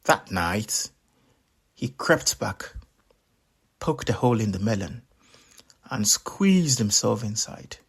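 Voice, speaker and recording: A man narrates a children's story with dramatic, deliberate phrasing. His voice is gravelly and husky, and he takes his time with each phrase. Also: fairly low pitch, expressive, a bit bright. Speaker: storyteller reading aloud.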